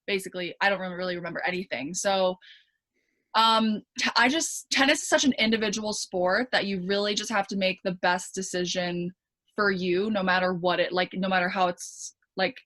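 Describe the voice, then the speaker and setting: Bright chatty Canadian young woman. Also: mid-range voice, normal speed. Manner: chatty, many fillers and restarts, laughs easily. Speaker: guest, pro tennis player. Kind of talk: casual interview chat.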